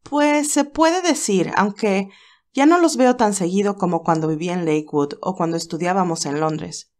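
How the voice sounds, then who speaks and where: A woman voicing a cheerful but anxious young nurse who talks in a lively, hesitant way. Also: medium pitch, moderate pace, lightly acted. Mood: worried.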